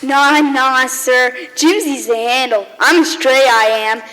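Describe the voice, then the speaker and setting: A woman acts a scruffy American city urchin boy in a radio play. The voice is very high and sharp, full of earnest, slangy cheer, and rings in a reverberant hall. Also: steady tone, slow pace, a little hiss or hum. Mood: plain, earnest. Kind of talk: radio drama acting. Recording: echoey hall with long reverb.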